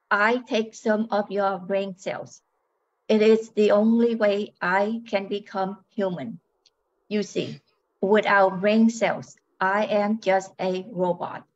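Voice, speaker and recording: An older woman playing a polite, coldly calculating robot wife in an amateur radio play, speaking in a measured, matter-of-fact robotic manner. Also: mid-range voice, a little hiss or hum, natural voice. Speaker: amateur radio drama actor. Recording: dull, muffled lo-fi sound.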